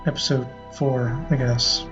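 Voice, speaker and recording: A man hosting a podcast in a casual, playful way, with rambling, halting delivery. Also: medium pitch, flat delivery. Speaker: podcast host. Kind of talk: casual chat with playful improvised sci-fi bits. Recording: slightly muffled audio with prominent music.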